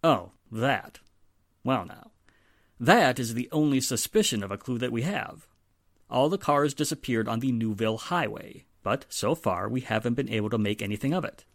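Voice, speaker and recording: An actor as a male police inspector, 1940s radio drama, weary, sardonic, emphatic, widely swinging pitch, dry close sound. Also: expressive, moderate pace, no room sound, close to an everyday voice. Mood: dry, firm.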